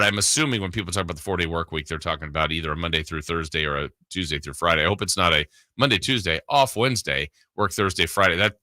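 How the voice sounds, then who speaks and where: A man's voice: sits low, pushed and bright, no echo. A man hosting a sports radio show, chatting casually in a rambling, conversational way close to the mic. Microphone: close studio broadcast mic.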